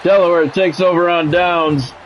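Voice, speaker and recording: A man's voice: high-pitched, fairly even intonation, normal speed, a little hiss or hum, poor audio. A man streaming a football video game, mixing sports play-by-play with casual, salty chat to viewers and swearing freely.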